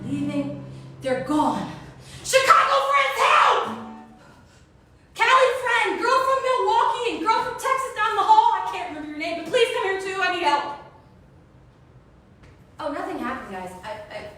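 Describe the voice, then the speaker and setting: High-voiced woman monologuist in echoey space. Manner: expressive, dramatic storytelling with drawn-out words. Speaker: solo stage performer. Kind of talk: theatrical autobiographical monologue, loosely improvised. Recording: very echoey, distant, music behind.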